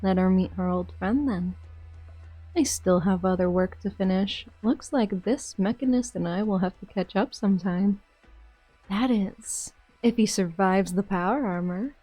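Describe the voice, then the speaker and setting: A woman's voice: medium pitch, measured pace, mellow, no room sound, faint music behind, close to an everyday voice, rough recording. A woman voicing a cool, scheming female commander in an amateur fan dub, detached and mocking.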